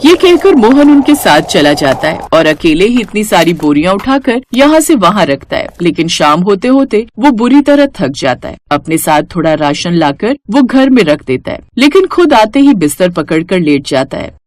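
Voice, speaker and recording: Expressive high-voiced woman storyteller. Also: moderate pace, few pauses, no room sound. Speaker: story narrator. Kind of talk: scripted narration of a moral cartoon story.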